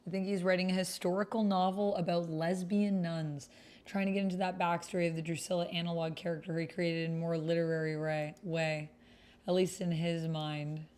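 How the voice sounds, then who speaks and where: A woman's voice: on the low side, little pitch movement, brisk, darkish tone, a little hiss or hum. A woman hosting a roleplay livestream, talking informally to her viewers and reading chat. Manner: informal, chatty, addresses viewers. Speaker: streamer hosting a tabletop roleplay. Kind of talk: casual livestream chat, reading chat and story text aloud.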